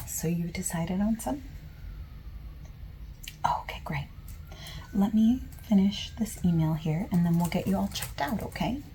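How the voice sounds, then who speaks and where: A woman speaking softly and sometimes in a whispery breath, gently reassuring, in an ASMR roleplay as a friendly bookstore clerk. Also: on the low side, slight background noise, poor audio. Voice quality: soft, often dropping into whispery breathiness. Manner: gentle, unhurried, reassuring customer-service talk. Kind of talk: soft-spoken ASMR roleplay.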